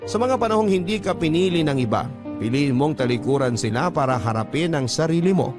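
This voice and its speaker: A man's voice: on the high side, expressive, a little fast. Male storyteller narrating over music. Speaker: radio drama host reading a listener's letter. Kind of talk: scripted dramatic narration. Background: background music.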